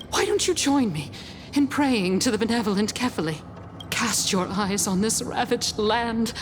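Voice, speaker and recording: A woman's voice: mid-range voice, background noise, natural voice. A woman voicing a fanatical villainess in a video game, theatrical, mockingly pious and full of contempt. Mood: mocking scorn. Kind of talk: dramatic video game acting.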